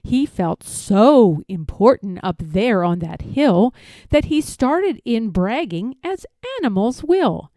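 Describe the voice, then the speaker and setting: Female storybook narrator, soft-toned and animated, deliberate rhythmic delivery, close dry sound. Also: on the high side, dry recording. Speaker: storybook reader. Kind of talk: expressive scripted rhyming story narration.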